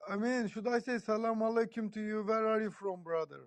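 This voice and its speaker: A man with a Turkish accent chatting casually as a guest, blunt and informal in halting non-native English. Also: high-pitched, dry recording. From Turkey. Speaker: podcast guest. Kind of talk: casual conversation.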